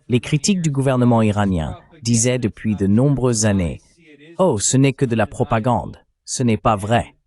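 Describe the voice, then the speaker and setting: A man's voice: medium pitch, a little mellow. A man delivering political commentary as an analyst, in an emphatic, argumentative way.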